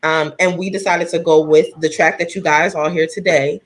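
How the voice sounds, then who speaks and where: Chatty soft-voiced woman streaming live. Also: low voice, fairly even intonation, moderate pace. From New Jersey. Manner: chatty, laughs often, talks straight to viewers. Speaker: singer and live stream host. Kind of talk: casual live stream chat.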